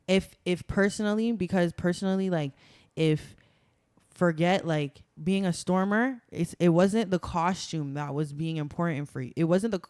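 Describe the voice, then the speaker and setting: Young woman, podcast guest, smooth clear tone, dry close sound, emphatic and chatty. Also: fairly low pitch, a little mellow, no echo. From Toronto, Canada. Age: young adult. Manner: chatty and emphatic, many "like" fillers. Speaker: guest, carnival masquerader. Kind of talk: casual podcast conversation.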